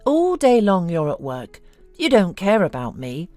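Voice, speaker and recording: A woman's voice: lowish voice, wildly swooping pitch, measured pace, mellow, faint music behind. Woman acting a timid, trembling, wounded husband. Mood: complaining. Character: timid, resentful, long-humiliated husband, adult man.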